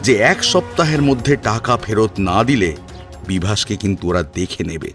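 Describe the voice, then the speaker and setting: Expressive male storyteller, lively pitch. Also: moderate pace, over music. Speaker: story narrator and voice actor. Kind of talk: dramatic scripted narration.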